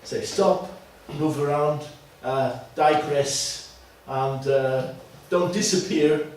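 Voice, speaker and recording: A man with an Austrian accent talks to a small group as their trainer, picking his words deliberately and checking in with short tag questions. His voice sits a little high. It is picked up from a distance in a very reverberant room with faint noise. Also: darkish tone. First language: German. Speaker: teacher trainer leading a workshop. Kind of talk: informal workshop talk to a small group. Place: classroom.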